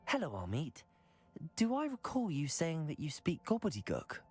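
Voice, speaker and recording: A man's voice: a little high, wildly swooping pitch, normal speed, darkish tone, slightly rough, faint music behind, exaggerated voice. A young man playing a composed, helpful teenage student in a fantasy game, polite and inquisitive. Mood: friendly. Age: young adult. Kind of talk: video game acting.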